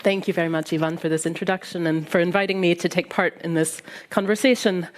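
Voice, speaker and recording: A woman with an American Midwest accent giving a prepared, measured talk as a panel speaker. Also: fairly low pitch, fairly even intonation, rough recording. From United States.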